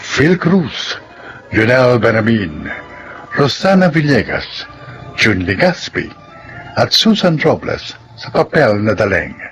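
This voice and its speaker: A man's voice: mid-range voice, big pitch swings, takes it slowly. Solemn male drama announcer. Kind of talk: scripted narration. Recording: narration over loud music.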